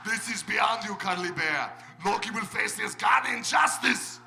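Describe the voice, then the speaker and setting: A man's voice: high voice, a little slow, gravelly, echoey room, exaggerated voice. A man playing a haughty thunder-god warrior in a comic live radio play. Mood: haughty, mocking. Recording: live convention stage, loud music behind.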